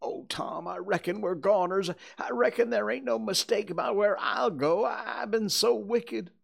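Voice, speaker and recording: A man's voice: sits high, moderate pace, gravelly, pitched above the performer's own voice, heavily acted. A man voicing a frightened, superstitious ragged young boy who speaks in rustic folksy dialect and stammering pleas. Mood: panicked.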